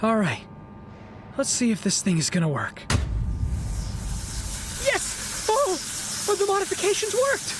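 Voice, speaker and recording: A woman playing an earnest, stammering, excitable teenage boy inventor from 1915 in an audio drama. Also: very high voice, unhurried, pauses often, noisy background, full character voice. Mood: excited.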